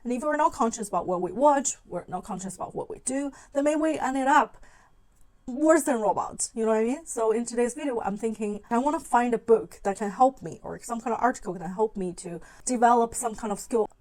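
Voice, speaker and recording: A woman's voice: on the high side, a little fast, a little mellow, poor audio. A woman who speaks English as a second language, hosting a video essay in an informal, conversational way and acting out her own thoughts. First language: not English. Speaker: video channel host. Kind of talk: talking-to-camera video essay.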